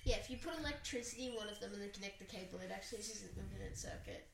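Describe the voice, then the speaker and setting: A woman co-hosting a casual podcast from a small home studio. Her voice is raspy, and she talks in a quick, scattered way. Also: mid-range voice, echoey room, a crowd in the background. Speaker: podcast co-host.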